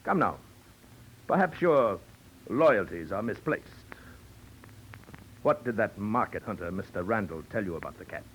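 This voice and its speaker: An actor playing a pompous, suave big-game hunter villain with florid, mock-courteous speech, in 1940s radio drama style. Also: male voice, on the low side, lively intonation, unhurried, long pauses, a bit bright. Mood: insistent. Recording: noisy old 1940s radio recording.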